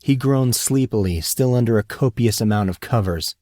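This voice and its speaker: A man's voice: mid-range voice, normal speed, a little mellow, no room sound, clean studio sound. A man reading scripted fiction as an audiobook narrator.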